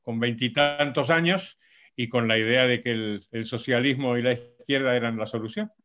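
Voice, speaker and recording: An older man from Argentina, whose accent mixes Madrid Spanish with Argentine, talking as an interview guest in fluent, measured storytelling. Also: medium pitch, a bit bright. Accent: Madrid Spanish mixed with Argentine. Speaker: guest economist.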